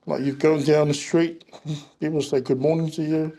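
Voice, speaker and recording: Gravelly man. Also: fairly high pitch, moderate pace, rough recording. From Samoa. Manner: relaxed, plain-spoken, loose grammar. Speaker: events and marketing manager, guest. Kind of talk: casual interview chat.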